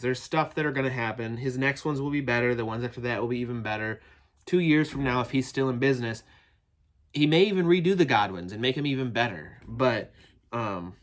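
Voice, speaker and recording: A man with a cold, sounding nasal and a bit phlegmy, giving a rambling, eager unboxing review of action figures from his toy building. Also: mid-range voice. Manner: rambling and chatty, many restarts, eager.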